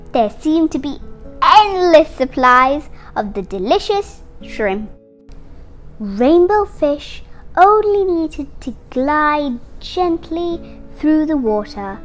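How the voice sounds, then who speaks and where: An eight-year-old girl reading a picture book aloud with careful, dramatic phrasing, as a young storyteller. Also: sits high. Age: child, eight years old. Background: instrumental music.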